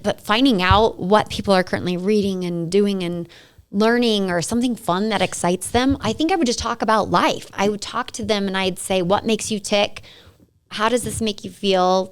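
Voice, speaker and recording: A woman in her thirties, a guest on a podcast, chatting in a bubbly, talkative way with quick laughs. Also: medium pitch, clear, resonant tone. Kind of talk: casual interview chat.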